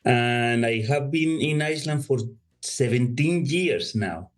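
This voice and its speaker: A man's voice: mid-range voice, animated, takes it slowly, faint music behind. A man from Colombia with a Colombian Spanish accent, a guest youth worker talking reflectively in a casual interview over a remote call. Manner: reflective, thoughtful, says "you know" often.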